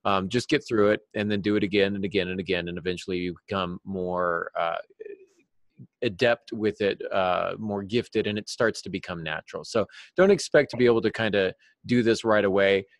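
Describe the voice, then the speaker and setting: A man's voice: lowish voice, normal speed, no echo. A man on a podcast panel giving reassuring advice in a conversational way.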